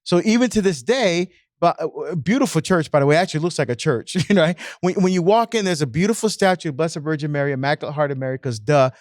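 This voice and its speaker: A man's voice: fairly high pitch, expressive, fast talker, hardly any pauses, dry recording, clean studio sound. A man, a parish priest, talking in a casual podcast discussion and telling stories with frequent restarts. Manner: storytelling, frequent restarts and repeated words.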